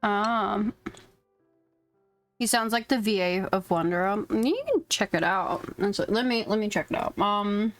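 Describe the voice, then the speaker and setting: Female game streamer, casual live commentary, mid-pitched voice, faint game music behind. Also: lively intonation, unhurried, no echo.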